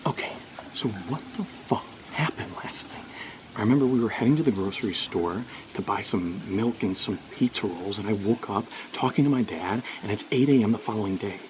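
A man's voice: fairly low pitch, fairly quick, background noise, close to an everyday voice. An actor playing a bewildered, wary man in an audio drama, hesitant and muttering sardonic asides. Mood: suspicious, sardonic. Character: bewildered, wary man puzzled by his housemate's behavior. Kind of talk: audio drama dialogue and monologue.

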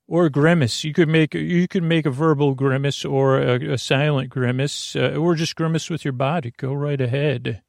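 A man with a slightly high, creaky voice hosts a sleepy podcast in a close, dry recording. He meanders through his monologue, hesitating, doubling back on words and drifting off on tangents. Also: fairly even intonation, no room sound. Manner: meandering, hesitant, halting and repeating. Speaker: podcast host. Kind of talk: rambling bedtime monologue.

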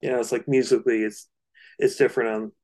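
A man co-hosting a casual discussion of music, speaking in hesitant, halting phrases. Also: medium pitch, little pitch movement, a little mellow. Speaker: music discussion podcast co-host.

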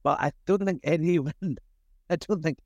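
A man's voice: a little high, normal speed, creaky, darkish tone, no room sound, clean studio sound. A man in his fifties giving casual, reflective interview answers in a modest, rambling, conversational way. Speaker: podcast guest, food safety executive.

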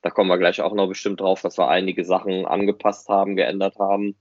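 Low-pitched man in casual podcast conversation. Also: flat delivery, quick pace, darkish tone, dry recording, highs cut off. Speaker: podcast co-host. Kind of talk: casual podcast chat about a hobby project.

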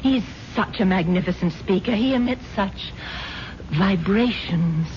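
A woman's voice: mid-range voice, unhurried, a little mellow, slight background noise, lightly acted. An actress playing a dreamy, earnest rich woman with a gushing, airy manner and a love of mysticism, in 1970s radio drama. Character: dreamy, earnest, wealthy wife enthralled by mysticism. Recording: dull lo-fi 1970s radio broadcast, music behind.

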